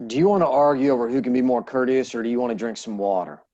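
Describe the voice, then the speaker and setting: A man's voice: mid-range voice, fast, warm tone, highs cut off, natural voice. A man playing a guarded, stern ex-outlaw sheriff who talks tersely in a western table read. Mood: gruffly kind.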